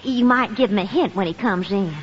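An actress playing a genteel, flirtatious belle in a 1950s radio sitcom. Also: female voice, medium pitch, fairly quick, close to an everyday voice. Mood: coquettish. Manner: gushing, coquettish, drawling. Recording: dull 1950s radio recording with faint hiss.

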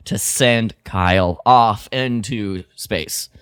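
A man co-hosting a podcast, discussing a drama casually and haltingly, often repeating words as he forms his point. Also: on the low side, fairly bright tone, clear, resonant tone, no echo, clean studio sound. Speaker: podcast co-host.

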